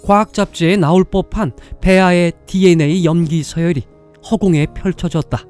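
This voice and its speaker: A man's voice: high voice, steady tone, normal speed, darkish tone. A man reading scripted story narration as the narrator of a drama. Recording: music behind the voice.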